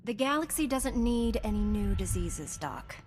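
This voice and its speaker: An actress playing a composed, gently teasing young Jedi knight in a video game, measured and earnest. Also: female voice, a little high, animated, in a large hall, slight background noise. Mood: concerned. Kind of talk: video game cutscene acting.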